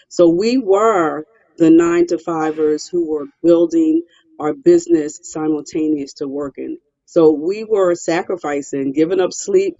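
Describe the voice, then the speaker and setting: A woman's voice: low-pitched, mellow, no echo, dull audio. A middle-aged woman from Philadelphia, a real estate investor, answering interview questions in an emphatic, self-assured way, often repeating words. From Philadelphia, Pennsylvania.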